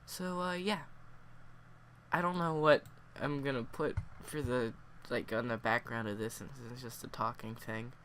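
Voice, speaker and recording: A man with a cold, sniffling and hoarse, reviewing a story in a casual, rambling way full of fillers. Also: mid-range voice, steady tone, measured pace, a bit bright, slight background noise. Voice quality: hoarse, worn voice.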